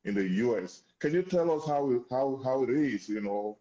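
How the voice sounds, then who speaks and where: A man hosts an interview and asks loose, hesitant questions, stumbling over some of his words. His audio is dull and lo-fi, with a roomy echo around it. His pitch is ordinary for a man. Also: expressive, moderate pace, warm tone. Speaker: interview host.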